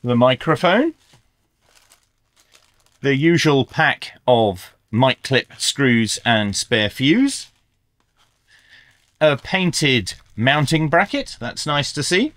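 A man's voice: mid-range voice, big pitch swings, drawn-out words, long pauses, a bit bright, no echo. A middle-aged man presenting a gear review as a video host, in a small indoor workshop. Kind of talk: scripted product review with hands-on commentary.

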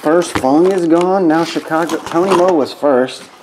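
A man hosting a live stream at a desk, calling out names in a slow, drawn-out casual way. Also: on the high side. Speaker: card breaker host. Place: indoor desk set with stacked boxes. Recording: noisy room.

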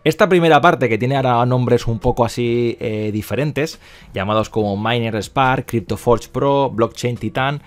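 A man's voice: mid-range voice, normal speed. A man with an accent from Spain giving casual, explanatory screen-share commentary as a video presenter, often repeating himself and checking in with little tag questions. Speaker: video presenter promoting crypto mining investments.

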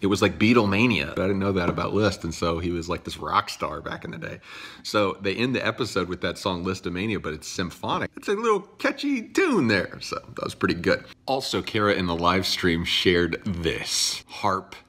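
A man's voice: deep voice, very animated, moderate pace, a bit bright, slightly rough. A man in his thirties chatting casually to a phone camera in his living room, joking as he goes. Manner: chatty, jokey asides. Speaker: channel host. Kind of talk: casual vlog chat. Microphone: phone camera at arm's length.

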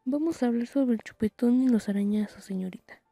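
Husky, dark-toned, sardonic witch, voiced by a woman. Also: fairly high pitch, a little fast, no room sound, faint music behind. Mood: stern. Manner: blunt, stern, sardonic. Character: blunt, sarcastic older witch, motherly guardian. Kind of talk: fan comic dub.

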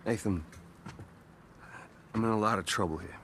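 An actor playing a weary, middle-aged American ex-convict in a video game, with a casual, wry, slangy delivery. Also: male voice, on the low side, moderate pace, a bit bright, slight background noise, natural voice. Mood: grave. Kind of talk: naturalistic cinematic game acting.

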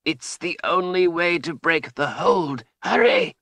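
Old wizard, performed by a male narrator: raised pitch, urgent, proud, emphatic delivery for a fantasy storybook. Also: big pitch swings, a little slow, bright, forceful tone, dry recording, full character voice. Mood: desperate. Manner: gasping, emphatic.

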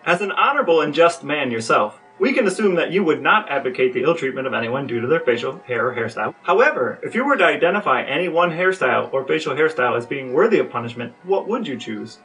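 A man's voice: fairly high pitch, very animated, quick pace, few pauses, fairly bright tone, soft background music. A man co-hosting a podcast, asking mock-formal questions and chatting casually, on a slightly distant microphone. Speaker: podcast co-host and interviewer.

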